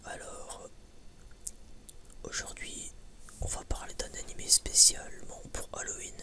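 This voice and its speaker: A man whispering a casual, rambling ASMR chat, informal and sweary, hesitant and often stammering over repeated words. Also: unhurried. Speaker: ASMR video creator.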